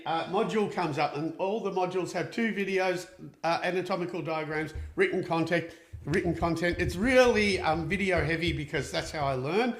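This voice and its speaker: A chatty man with a fairly high voice hosts a relaxed live Q&A, upbeat and full of fillers and restarts. The sound rings with the echo of a hard room. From Austria. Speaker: equine therapist and show host. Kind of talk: casual live Q&A chat. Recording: echoey room.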